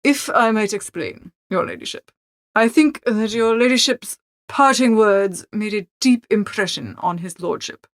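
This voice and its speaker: A woman voicing a calm, deferential male valet in an audiobook, soft-spoken, formal and measured. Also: mid-range voice, dry recording, natural voice. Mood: smoothly deferential. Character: unflappable, deferential English valet, a male servant. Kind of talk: audiobook character acting.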